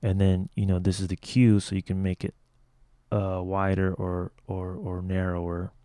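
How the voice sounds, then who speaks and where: A man with a lower tenor voice casually explaining how to finish a song, talking loosely with lots of "like" and "you know.". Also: monotone, moderate pace, mellow, clear, resonant tone, no room sound. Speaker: music production tutor. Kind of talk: casual tutorial walkthrough.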